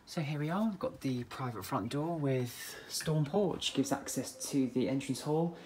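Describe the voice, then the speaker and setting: A man with an English accent giving a relaxed, chatty walk-through of a house for sale, filmed on a handheld phone indoors and in the garden. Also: mid-range voice, measured pace, noisy background. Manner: relaxed, chatty, plain sales patter. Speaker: estate agent giving a house tour. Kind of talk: walk-through property tour narration. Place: inside a detached house and its back garden. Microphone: handheld phone camera.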